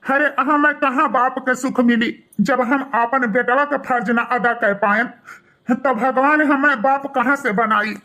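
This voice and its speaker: A man acts out a guilt-ridden grown son in a melodramatic moral cartoon. The voice is extremely high and bright, with an Awadhi accent. He pleads and repeats himself, full of remorse. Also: steady tone, a little slow, exaggerated voice. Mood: remorseful pleading. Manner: pleading, repetitive.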